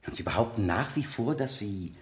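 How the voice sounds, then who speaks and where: Male examiner played by an actor, radio drama. Methodical, insistent, courteous, with animated pitch in a roomy acoustic. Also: natural voice. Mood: insistent, pressing. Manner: deliberate, insistent, formally polite questioning. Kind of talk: 1970 German radio play acting.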